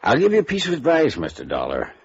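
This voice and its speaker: A gossipy small town banker, husky and low, dull old radio recording. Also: male voice, huge pitch swings, fast, full character voice. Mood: confiding, frank. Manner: folksy, hesitant, confiding. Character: frank, gossipy male small-town bank president. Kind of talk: 1950s radio drama acting.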